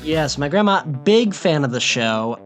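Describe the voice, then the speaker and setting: A man's voice: fairly high pitch, big pitch swings, unhurried, faint music behind. An American man hosting a podcast in a casual, chatty style. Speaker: podcast host. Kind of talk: casual chat.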